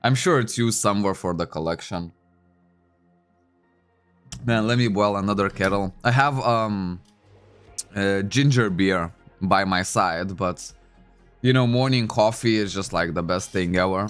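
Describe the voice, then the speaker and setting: A man's voice: fairly low pitch, a little slow, long pauses, a bit bright, smooth, soft background music. A man whose first language is Lithuanian, chatting casually at home into a desk microphone while playing a game, thinking aloud with many fillers. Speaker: game streamer. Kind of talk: casual livestream commentary.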